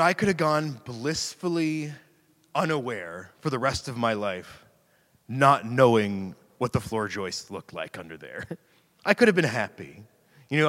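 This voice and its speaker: A man's voice: a little high, a bit bright. Man preaching casually in an echoing hall. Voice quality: slightly raspy from screaming into a mic. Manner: chatty, self-deprecating, laughs easily. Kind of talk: casual conversational sermon. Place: church sanctuary. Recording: long hall reverb.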